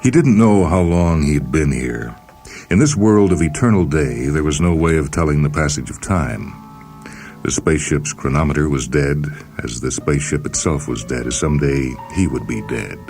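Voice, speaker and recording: Very deep-voiced man narrating fiction. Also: normal speed, darkish tone. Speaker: host and story reader. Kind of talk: dramatic scripted narration of speculative fiction. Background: music and sound cues.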